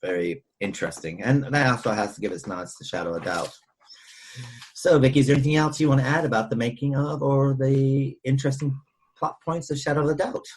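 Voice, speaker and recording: A man's voice: medium pitch, brisk, husky, poor audio. A man hosting a podcast in a casual, chatty and informative way, discussing films with a rambling delivery. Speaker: podcast host.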